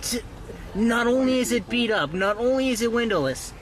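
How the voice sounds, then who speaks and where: A man's voice: very high-pitched, animated, moderate pace. A young man bantering casually with friends on a road trip. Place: in or by a car on the road. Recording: very loud vehicle and outdoor noise.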